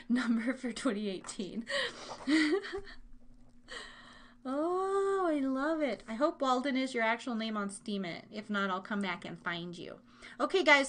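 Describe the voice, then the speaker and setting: High-voiced, playful American woman hosting live trivia. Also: fairly bright tone. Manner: playful, chatty, giggly asides to listeners. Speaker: live show host running a trivia game. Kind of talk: casual live-stream chat.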